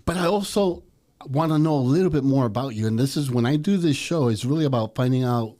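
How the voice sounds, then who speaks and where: A man's voice: mid-range voice, expressive, fast, warm tone. A middle-aged man hosting a podcast, chatting and leading his guest with questions. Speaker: podcast host. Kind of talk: casual interview hosting.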